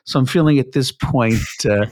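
A man hosting a podcast as a rabbi, speaking slowly with many hesitant fillers in casual interview chat. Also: medium pitch, a little mellow. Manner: slow, halting, many uh fillers.

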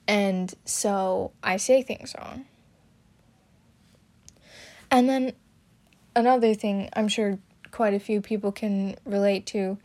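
Slow, halting young woman. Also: medium pitch, a little mellow. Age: young adult. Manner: hesitant, halting, frequent fillers and restarts. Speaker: student podcaster. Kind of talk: personal talk about her stutter.

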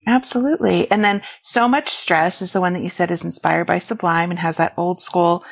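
A woman's voice: fairly low pitch, fairly even intonation, fairly quick, vocal fry. A woman of fifty, hosting a casual podcast interview in an effusive, complimentary way. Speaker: podcast host.

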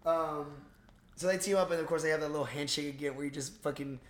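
Young guy, higher-pitched, echoey room. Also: quick pace, noisy background. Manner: slangy, swearing, excitable storytelling. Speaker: podcast host. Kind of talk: casual movie recap chat. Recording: roomy, echoing room sound.

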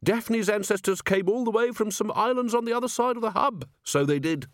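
Man putting on a high, cackling old yard keeper. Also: brisk, no room sound, studio quality, natural voice. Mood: chatty. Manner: folksy, rambling, archaic dialect. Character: cackling old rustic male yard keeper, chatty, grumbling.

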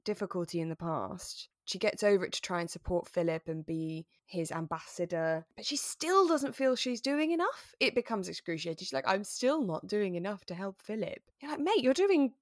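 A British woman co-hosting a podcast in a casual, animated chat, slipping into mock quotes as she talks. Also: medium pitch, normal speed, few pauses, dry recording, studio quality. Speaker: podcast co-host. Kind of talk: casual chat, reviewing a radio drama.

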